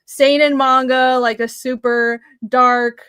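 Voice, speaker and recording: A woman's voice: high voice, level tone, takes it slowly, fairly bright tone, clear, resonant tone, no room sound, studio quality. A young woman from Taiwan talking about her comics in a casual interview, earnest and thoughtful with many fillers. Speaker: comic creator guest.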